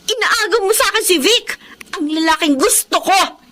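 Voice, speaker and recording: A woman's voice: very high voice, animated, moderate pace, noisy background. A woman playing an emotional, jealous young single mother in a Filipino radio drama. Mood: jealous rage.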